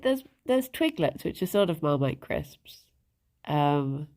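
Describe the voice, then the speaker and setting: A woman's voice: mid-range voice, a little mellow. A woman with a stuffed-up nose, chatting casually with her live chat, greeting viewers by name and giggling often. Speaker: streamer.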